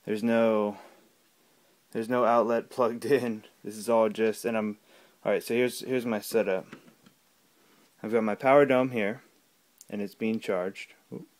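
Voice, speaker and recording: A man giving a casual, unscripted gadget demonstration at home, talking in a halting, rambling way. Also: fairly low pitch, fairly even intonation. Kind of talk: casual unscripted product demo. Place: small room at home. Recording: clear background noise with clicks.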